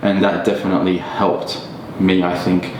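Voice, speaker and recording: Aussie guy, deep flat voice, lots of ums, echoey room, bit of rumble. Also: moderate pace. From Australia. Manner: thoughtful, many ums and restarts. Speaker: podcast guest. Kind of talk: casual reflective conversation.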